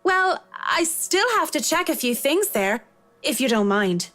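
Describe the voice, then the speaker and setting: A brisk, sardonic young woman from a visual novel game. The voice sits high and swings expressively, curt and assured, with light music underneath. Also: very animated, a bit bright, heavily acted.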